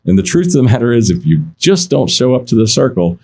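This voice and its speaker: A man who works in car auctions, telling stories in a relaxed podcast chat, often restarting his sentences and quoting other people. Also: mid-range voice, fast talker. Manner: animated anecdotes, many restarts, quotes others. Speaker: auction insider guest. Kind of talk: casual podcast storytelling.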